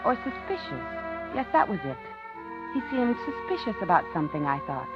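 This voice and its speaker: A dull vintage radio recording with music behind the voice. An actress plays a polite American widow confiding her unease in 1950s suspense style. Her delivery is genteel and very animated in pitch. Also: moderate pace, close to an everyday voice. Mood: uneasy, reflective. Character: polite, uneasy widowed office worker woman, recounting nervously. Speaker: radio drama lead and narrator.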